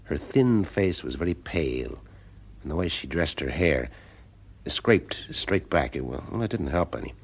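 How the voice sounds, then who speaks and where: An actor playing a wry, observant private eye in a radio mystery, with a husky voice and an informal way of talking. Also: male voice, rumbling low, lively intonation, measured pace, exaggerated voice. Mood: wry, narrating. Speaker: lead actor and narrator in a detective audio drama. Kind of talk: first-person detective narration and dialogue.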